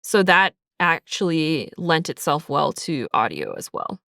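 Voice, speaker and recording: A woman's voice: low voice, huge pitch swings, a little slow, creaky, fairly bright tone, no echo, clean studio sound. A woman speaking casually in an interview as a writer, chatty and informal, peppering her talk with "like" and "sort of". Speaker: audio drama writer being interviewed. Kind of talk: casual behind-the-scenes interview.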